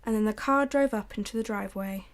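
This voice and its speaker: A young woman narrating a radio drama in a measured, brooding way. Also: mid-range voice, background noise.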